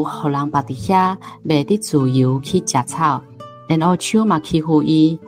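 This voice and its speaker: A woman with a Taiwanese accent giving reflective, unscripted commentary as a guest, with frequent hesitations and trailing particles. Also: low voice. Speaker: book club host, guest speaker.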